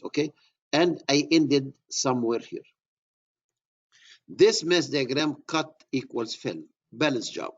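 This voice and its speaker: Middle-aged male engineering instructor, Egyptian accent, slightly higher pitch, husky, dull lo-fi recording. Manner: repeats key words, restarts phrases. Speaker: surveying instructor. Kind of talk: classroom lecture.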